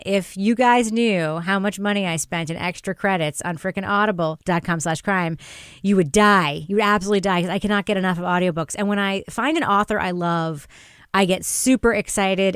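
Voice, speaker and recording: Female podcast host, smooth clear voice, effusive and conversational, dry studio sound. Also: medium pitch, dry recording. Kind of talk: casual panel chat.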